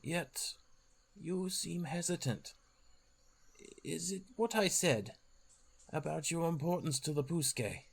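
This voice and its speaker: A man playing a gentle, perceptive elf in a fantasy audio drama, courteous and formal. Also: a little high, lively intonation, slow, creaky, pauses often, slightly rough, exaggerated voice. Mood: gentle, earnest. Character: gentle, perceptive male elf offering humble service.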